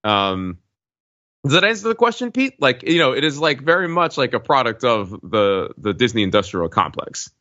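A man chatting casually as a podcast co-host, halting and hedging, often wandering into asides. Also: medium pitch, sing-song, sharp tone. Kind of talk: casual chat. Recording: slightly dull, lo-fi audio.

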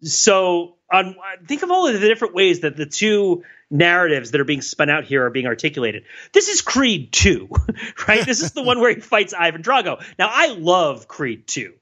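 A man co-hosting a podcast in casual chat, excitable and talking in quick bursts with many restarts. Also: high voice. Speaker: podcast co-host. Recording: dull lo-fi mic.

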